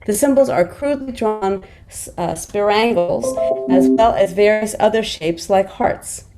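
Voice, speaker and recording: A woman's voice: medium pitch, unhurried, over loud music. A woman narrating stage directions in a screenplay table read.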